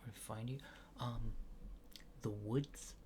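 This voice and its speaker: A man doing a soft-spoken, partly whispered ASMR roleplay as a calm, casual alien examiner, reassuring and hesitant in an unhurried way. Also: mid-range voice, slight background noise.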